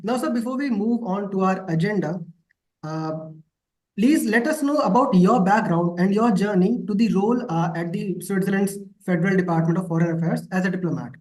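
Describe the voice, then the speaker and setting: A man's voice: high voice, moderate pace, darkish tone, poor audio. A man hosting an interview in a formal, deferential manner. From north India. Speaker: interview host.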